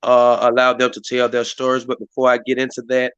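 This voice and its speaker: A hoarse man hosts a community live stream in a rambling way, full of fillers and restarts. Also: medium pitch, completely flat delivery, brisk, fairly bright tone, no room sound, dull audio. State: hoarse, voice partly lost. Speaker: community activist hosting a live stream meeting. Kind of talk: casual hosted talk. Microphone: live stream mic.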